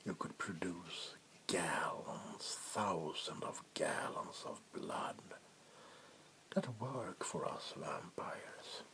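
A man playing an ancient, power-hungry vampire warlord in a soft-spoken ASMR roleplay, with a breathy whisper and a deliberate, menacing delivery. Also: low voice, lively intonation, full character voice. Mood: proud, gloating. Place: small wood-panelled room indoors.

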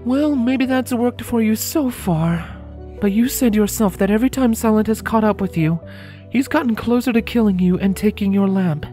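A woman voicing a weary, caring adult mare pony in a dramatised audiobook, speaking in a measured, earnest way. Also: on the high side, dark tone, soft background music, lightly acted. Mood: worried. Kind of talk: dramatised audiobook character acting.

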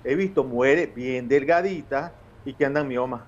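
A man's voice: mid-range voice, normal speed, muffled recording. A man in his fifties with a Nicaraguan accent, a gynecologist explaining things patiently on a TV show by video call from home, with many eh fillers. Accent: Nicaraguan Spanish. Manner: explanatory, with frequent eh fillers and restarts. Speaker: gynecologist guest. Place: home office with bookshelves.

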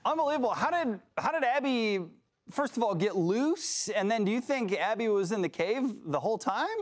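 Anchor: lively, jokey man, high register, studio news banter. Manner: upbeat, jokey, quick banter.

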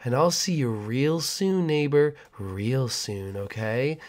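Man putting on a sinister neighbor, slow oily folksy drawl, hushed and lower than his own voice. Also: expressive. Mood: creepy. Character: creepy smooth-talking male new neighbor.

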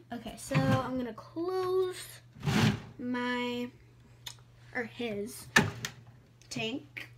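A woman's voice: on the high side, expressive, very slow, long pauses. A young girl of about ten to twelve chatting casually as she gives a home tour on a handheld phone. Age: child, about 10 to 12. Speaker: amateur vlogger. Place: inside a house, moving from room to room. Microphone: handheld phone at arm's length. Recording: noisy handheld phone recording.

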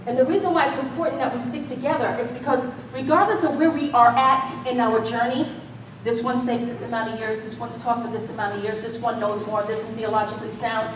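A woman's voice: on the high side, fairly even intonation, fairly quick, a little hiss or hum. A middle-aged American woman preaching a conversational sermon in a folksy, emphatic way, addressing the congregation directly. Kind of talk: animated conversational sermon with call-and-response. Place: church stage, indoors, before a congregation. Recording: echoey hall, distant mic, audience murmur.

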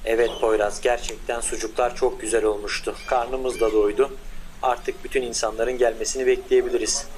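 A man voicing a confident male lead scientist who gives orders to his younger brother in a bossy but warm way. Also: mid-range voice, level tone, moderate pace, slight background noise, natural voice. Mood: commanding.

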